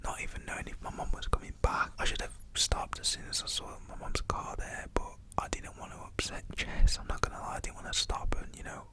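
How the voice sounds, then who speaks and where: A man's voice: fairly quick, few pauses. A young man tells a personal story in a whisper, full of "you know" and "literally".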